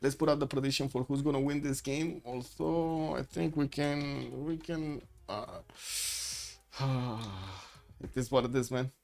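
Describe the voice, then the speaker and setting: A man's voice: medium pitch, steady tone, measured pace, vocal fry, a little mellow. A man hosting a livestream, giving rambling live commentary on a strategy game match and greeting chat viewers. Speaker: stream host and caster.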